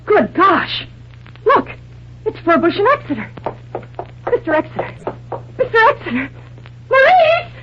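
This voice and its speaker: An excitable secretary in an old radio thriller. Her voice is high and bluntly wisecracking, on a muffled recording with clear hiss. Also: measured pace, pauses often, full character voice. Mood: alarmed. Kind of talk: old-time radio acting. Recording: dull, hissy vintage radio recording.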